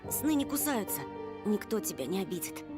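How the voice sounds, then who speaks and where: A woman's voice: medium pitch, very animated, fairly quick, over music, lightly acted. A woman voicing a young boy, a protective older brother, in a visual novel. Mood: soothing.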